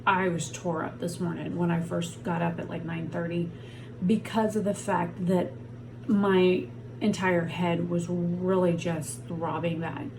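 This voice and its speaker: A frank, rambling woman talks to her camera from home while she is in pain, and her voice sits a little low. The home recording carries a clear layer of background noise under her talk. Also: flat delivery, measured pace. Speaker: vlogger. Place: at home on a heating pad.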